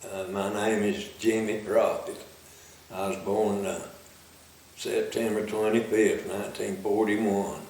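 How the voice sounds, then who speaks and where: A man, recalling his boyhood in a halting interview with many fillers. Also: fairly low pitch, steady tone, darkish tone, a little hiss or hum. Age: 80s. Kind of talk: recorded interview. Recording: very echoey room, distant mic.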